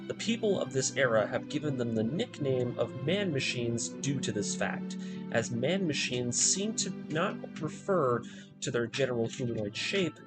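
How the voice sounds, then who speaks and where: A man reading a scripted story recap as a video narrator, in a dramatic, deliberate storytelling manner. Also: medium pitch. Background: background music.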